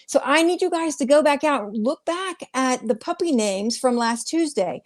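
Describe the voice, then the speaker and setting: A woman's voice: high voice, lively intonation, normal speed. A woman co-hosting a casual live chat show in an informal, chatty way. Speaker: co-host.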